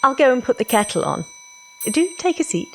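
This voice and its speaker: Chatty, effusive middle-aged woman, lilting pitch. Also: sing-song, brisk, slight background noise. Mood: gracious, eager. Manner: hospitable, effusive. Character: chatty, kindly, flattering widowed secretary, middle-aged. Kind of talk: audio drama dialogue.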